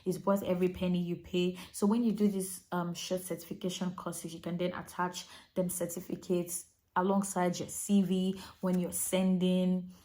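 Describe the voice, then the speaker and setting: A woman's voice: fairly low pitch, flat delivery, moderate pace, hardly any pauses, dark tone. A young Nigerian woman, a nurse, talking directly to viewers with chatty, practical migration advice. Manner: chatty, direct, addresses viewers as you guys. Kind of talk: advice vlog to camera.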